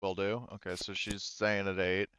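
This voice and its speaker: A man running a tabletop roleplaying game as game master, talking casually and haltingly as he thinks aloud through the rules. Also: sits low, level tone, a bit bright, clean tone, no room sound, soft background music.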